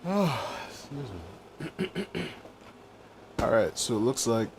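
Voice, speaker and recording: A man's voice: medium pitch, big pitch swings, extremely slow, slight background noise. A laid-back, wry man giving casual commentary while streaming a video game. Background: video game music.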